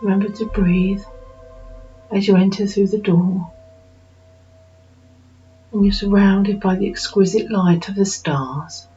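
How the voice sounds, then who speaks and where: A woman narrating a guided meditation in a slow, soothing manner with unhurried pauses. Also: mid-range voice, steady tone, mellow. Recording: dull lo-fi recording with music behind.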